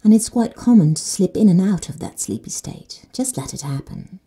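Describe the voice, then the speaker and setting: Breathy, mellow woman guiding sleep. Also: fairly low pitch, expressive. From Netherlands. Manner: slow and soothing, dropping to soft whispers. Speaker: meditation guide. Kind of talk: guided sleep meditation.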